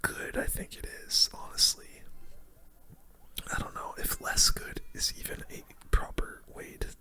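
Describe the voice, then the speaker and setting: A gamer whispering softly and breathily into a close microphone, giving ASMR-style running commentary on play while musing aloud. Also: unhurried. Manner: soft whispering, mild swearing, musing aloud. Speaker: gaming video creator.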